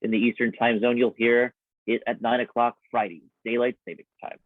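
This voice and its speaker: A man's voice: medium pitch, flat delivery, fairly quick, no echo. Dry, slightly muffled male radio host. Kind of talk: live old-time radio show announcing. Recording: slightly dull, dry sound.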